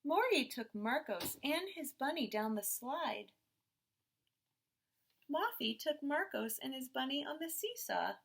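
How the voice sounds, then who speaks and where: A woman teacher reading a picture book aloud to young children in expressive, simple phrases. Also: fairly high pitch, creaky, breathy edge.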